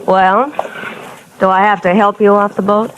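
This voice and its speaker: A cool, sharp-tongued young wife played by an actress in a vintage radio mystery. Her clipped, sardonic lines sit at a middle pitch. Faint hiss lies underneath. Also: level tone, moderate pace, close to an everyday voice, low-quality recording. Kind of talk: 1950s radio drama acting.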